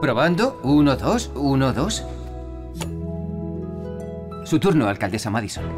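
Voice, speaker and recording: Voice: medium pitch, sing-song, unhurried, music in the background. An actor voicing a friendly, capable builder who leads his team with encouragement, in a Castilian Spanish cartoon dub. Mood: reassuring, in charge. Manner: clear instructions, encouraging. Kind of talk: animated children's cartoon dub.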